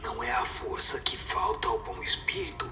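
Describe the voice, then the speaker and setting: A man voicing a solemn spirit who whispers moral admonitions in a breathy, slow, radio drama delivery. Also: sharp tone, reverberant, slight background noise. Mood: grave, admonishing.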